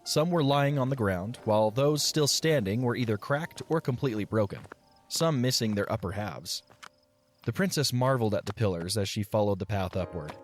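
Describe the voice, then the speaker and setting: A man narrating an audio drama in a measured, expressive storybook style. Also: on the low side.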